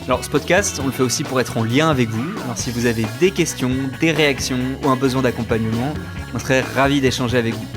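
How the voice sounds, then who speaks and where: A man co-hosting a podcast, giving a measured, scripted explanation as an enneagram coach and trainer. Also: mid-range voice, over music.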